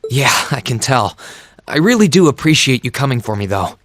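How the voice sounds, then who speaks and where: An actor playing an earnest, kind teenage hero in an anime game dub, sincere and considerate in manner. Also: male voice, medium pitch, big pitch swings, normal speed, a bit bright, dry recording, studio quality. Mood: thoughtful.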